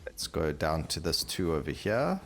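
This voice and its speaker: A man's voice: a bass voice, big pitch swings, normal speed, a bit bright. A man hosting a gaming video, giving casual, conversational commentary and thinking aloud as he plays. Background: game music.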